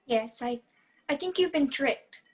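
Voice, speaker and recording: A woman acting a feisty, sharp-tongued young woman who reluctantly helps a fugitive, in a radio play over a video call. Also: high-pitched, expressive, measured pace, dry recording. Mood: apologetic. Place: video call, dry room. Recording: muffled video-call audio.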